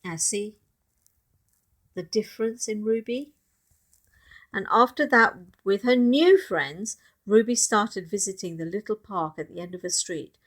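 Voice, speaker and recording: A woman reading a picture book aloud expressively, pausing to speak directly to child listeners. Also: mid-range voice.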